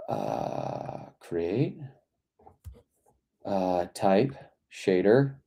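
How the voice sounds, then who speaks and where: A hoarse man losing his voice, casually rambling and thinking aloud as he works through a game dev log. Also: sits low, huge pitch swings, extremely slow, warm tone. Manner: rambling, mutters half to himself. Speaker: indie game developer.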